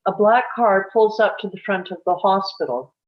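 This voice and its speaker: A woman's voice: mid-range voice, lively intonation, normal speed. A woman reading stage directions as scripted narration for a live table read, on dull audio.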